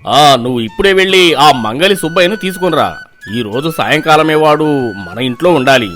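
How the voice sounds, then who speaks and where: An imperious village landlord from a storybook, acted by a man. He is commanding and quick to threaten, with a highish, very animated delivery and a faint music bed. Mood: stern, impatient. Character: imperious, superstitious, hot-tempered male village landlord.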